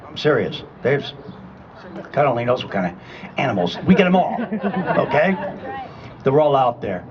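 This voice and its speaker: A man's voice: mid-range voice, very animated, moderate pace, muffled recording, a crowd in the background. A man in his sixties with a New England accent, a retired Army man giving a conversational, emphatic speech at a public rally. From Rhode Island and Connecticut, US. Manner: conversational and emphatic, checks in with "okay?". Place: public rally at a state capitol.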